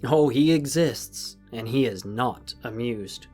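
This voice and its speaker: Audio drama villain played by a man: secretive, stern enforcer, rough-edged husky voice, icy authority, music bed. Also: medium pitch, slow, a little mellow. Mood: stern, insistent. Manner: cold, commanding, condescending. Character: stern, secretive male enforcer of a mysterious patron. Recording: music under the voice.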